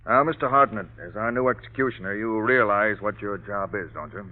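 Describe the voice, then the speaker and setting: A man's voice: lowish voice, close to an everyday voice. Man acting earnest prison warden, tinny old radio. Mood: official, grave. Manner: formal, earnest, measured authority. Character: earnest authoritative male prison warden, businesslike, somewhat jumpy. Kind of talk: 1930s-40s radio drama acting. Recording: tinny narrow-band vintage radio recording.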